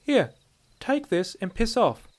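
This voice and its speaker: Man voicing a brash, high-pitched female dark elf bandit. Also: very animated, slow, exaggerated voice. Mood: bossy, taunting. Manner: sardonic, taunting, bossy.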